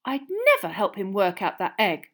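Indignant teenage witch, acted by a woman narrator. Raised pitch, swooping emphasis, defensive, children's fantasy audiobook. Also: big pitch swings, moderate pace. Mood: outraged, shrill. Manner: shrill, emphatic.

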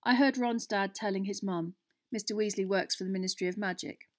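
A woman's voice: medium pitch, a little fast, close to an everyday voice. A woman voicing an earnest, hot-tempered schoolboy in an audiobook. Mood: weary. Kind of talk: audiobook character voice.

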